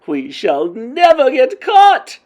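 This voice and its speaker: A woman voicing a frenzied crowd of female witches, screaming in high, shrill voices. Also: animated, a little slow.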